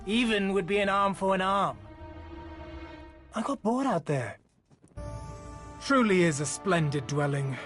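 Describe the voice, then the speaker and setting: An actor playing a swaggering, wry mercenary leader in a video game, with a theatrical, boastful manner. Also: male voice, high-pitched, expressive, a little slow, long pauses, fairly bright tone, soft background music. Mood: breezy, amused. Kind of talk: video game cutscene acting.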